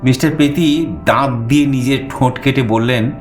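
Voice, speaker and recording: A man narrating a suspense story in the first person, theatrical, with dramatic pauses. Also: medium pitch, steady tone. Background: music.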